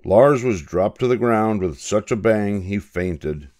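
An older man narrating a children's picture book in a measured, expressive storytelling manner. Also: fairly low pitch. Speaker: storybook reader.

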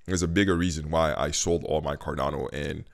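A man's voice: a bass voice, steady tone, fairly quick, vocal fry. A man in his thirties from Haiti, chatting casually and candidly to camera from his home kitchen into a studio mic, with lots of fillers. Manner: conversational and candid, with frequent fillers. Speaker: vlogger. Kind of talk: casual talking-head vlog. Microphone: studio mic on a stand in front of him.

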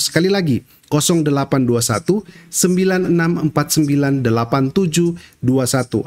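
A man's voice: mid-range voice, darkish tone. Middle-aged man hosting. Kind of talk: presenter announcements.

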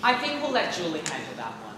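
A young man on stage in a school play, acting an earnest, exasperated but kindly high school teacher. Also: wildly swooping pitch, fast. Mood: patient. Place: theatre stage, filmed from the audience. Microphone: far camera. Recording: echoey theatre hall, faint noise.

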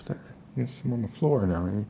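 A man acts out an unstable survivor of the apocalypse, coddling his captive in an ASMR roleplay. The voice is deep-set and mellow with a creaky edge. He talks softly and haltingly, wandering off mid-thought as he coaxes. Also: lively intonation, a little hiss or hum. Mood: coaxing, rambling. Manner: halting, rambling, soft-spoken coaxing.

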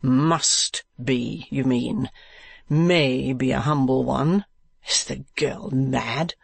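A man's voice: medium pitch, very slow, creaky, slightly rough, no echo, heavily acted. Curt sarcastic old uncle, as a male narrator reads him. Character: stern, sarcastic, impatient older uncle. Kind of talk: audiobook character reading.

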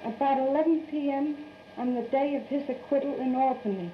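A woman's voice: high voice, brisk. A young woman giving stiff, rehearsed answers in a 1930s newsreel interview on a muffled, noisy soundtrack. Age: young adult. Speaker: stage performer being interviewed.

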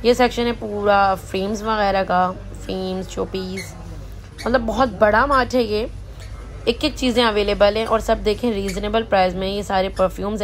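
A woman's voice: medium pitch, poor audio. A woman vlogger casually narrating a walk through a big supermarket, chatting easily and reading out prices as she goes. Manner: chatty, mixes English words and prices into her talk. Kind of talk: casual shopping vlog narration. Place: large supermarket, indoors.

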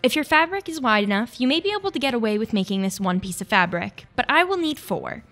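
Craft tutorial host: young female, fairly high pitch, scripted instructional read over background music. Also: sing-song, fast, fairly bright tone. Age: young adult.

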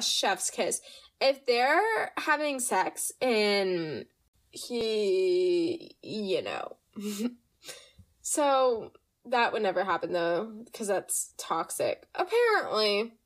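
Young woman hosting her podcast, bit nasal from allergies, chatty and animated, kinda high voice. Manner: chatty, animated, reads listener stories aloud. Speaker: podcast host. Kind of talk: casual storytime chat.

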